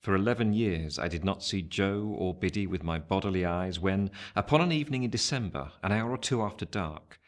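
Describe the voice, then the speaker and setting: Man, low-pitched actor in role: reflective older gentleman narrating his life in a literary radio drama, measured and wistful. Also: lightly acted.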